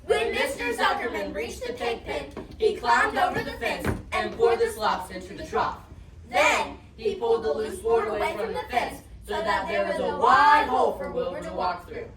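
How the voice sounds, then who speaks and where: A young female narrator performing a storybook scene on stage, expressive and emphatic in her delivery. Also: fairly high pitch, moderate pace, a loud crowd. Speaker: student reader's theatre narrator. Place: competition performance room indoors. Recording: echoey room, distant mic, audience noise.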